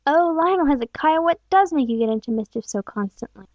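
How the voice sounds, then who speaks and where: A woman voicing a gentle, anxious and helpless aunt in a storybook, fretful and faltering. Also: high voice, lively intonation, fairly quick, no room sound, dull audio, voice pushed up. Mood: anxious, startled. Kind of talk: storybook character dialogue.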